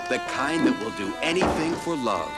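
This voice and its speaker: A man's voice: medium pitch, very animated, normal speed, loud music behind the voice. A man reading dramatic scripted narration as the announcer of a film trailer.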